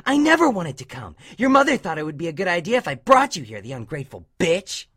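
A woman voicing a bullying, hot-tempered adult female cartoon pony, loud and scornful in a dramatic story reading. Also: lowish voice, very animated, fast talker, husky, full character voice. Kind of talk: dramatic fan-fiction character acting.

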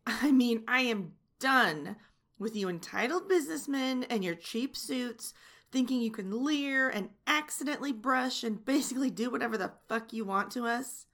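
Woman to play a domineering young flight attendant, sharp-tongued and taunting; slightly high, unhurried delivery. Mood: furious. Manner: mocking, teasing taunts. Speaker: voice actor in an audio roleplay. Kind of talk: adult audio roleplay.

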